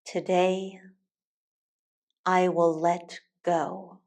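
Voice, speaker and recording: A woman teacher leading a guided meditation in a calm, soothing, deliberate way with long pauses. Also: on the low side, gravelly. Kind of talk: guided meditation, soft instructional narration.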